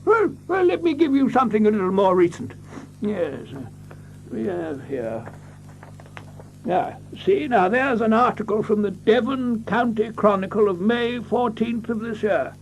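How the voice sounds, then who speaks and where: A man playing a fussy, excitable country doctor in a radio drama, stammering and repeating himself as he dithers. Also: high-pitched, measured pace, highs cut off, a little hiss or hum, heavily acted. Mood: agitated, earnest. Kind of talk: theatrical radio drama acting.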